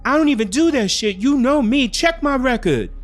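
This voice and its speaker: A man playing an indignant boyfriend under arrest in a thriller story, shouting slangy denials. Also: very high-pitched, lively intonation, moderate pace, voice pushed up.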